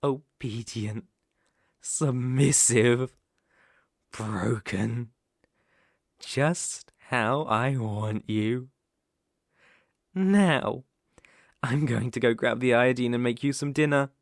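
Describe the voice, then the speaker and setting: ASMR roleplay: male actor for a possessive British yandere captor boyfriend, unhurried, mid-pitched, coaxing yet threatening, intimately dry recording. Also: creaky, dry recording. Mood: menacing, possessive. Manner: slow, cooing endearments turning to controlled threats.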